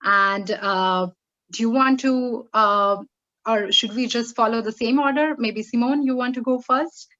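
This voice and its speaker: A woman moderating an online panel, speaking haltingly with many fillers as she sets up the next question. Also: fairly high pitch, pushed and bright, lo-fi sound.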